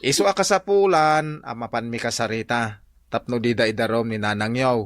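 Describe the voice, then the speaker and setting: A man's voice: mid-range voice, normal speed, dry recording, studio quality, close to an everyday voice. A man with an Ilocano accent playing a blunt, scolding farmer husband and father in a comedy radio drama, given to exclamations. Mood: firm, explaining.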